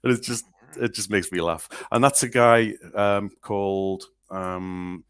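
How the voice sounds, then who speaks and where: A man's voice: fairly low pitch, fairly even intonation, measured pace, clean tone. A man from northeast England, co-hosting a podcast, chatting loosely about songs with many hesitations and restarts. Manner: rambling, hesitant, full of restarts and fillers. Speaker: podcast co-host. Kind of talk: casual chat about music.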